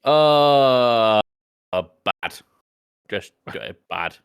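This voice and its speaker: A man's voice: mid-range voice, lively intonation, extremely slow, clean tone. A man talking casually as a podcast panellist, explaining things informally to friends.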